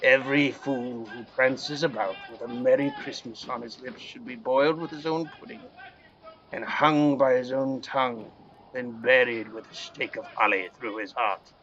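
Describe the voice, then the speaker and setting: A man's voice: mid-range voice, steady tone, moderate pace, a bit bright, close to an everyday voice. A man performs a miserly old Victorian uncle in an audio play. He is curt, scornful and indignant, with stern authority and open contempt. The recording is dull and lo-fi. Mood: indignant, threatening.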